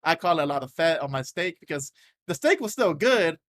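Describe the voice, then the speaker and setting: A man co-hosting a casual gaming podcast, loud and animated, tripping over restarts as he makes his points. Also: a little high, fairly quick, dry recording, clean studio sound. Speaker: podcast co-host. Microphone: desk mic, podcast setup.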